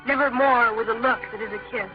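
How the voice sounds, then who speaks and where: A man's voice: extremely high pitch, steady tone, a little fast, soft background music. A young male actor playing an earnest, bashful, hesitant thirteen-year-old boy in an old-time radio drama. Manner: hesitant, eager. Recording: dull old radio recording.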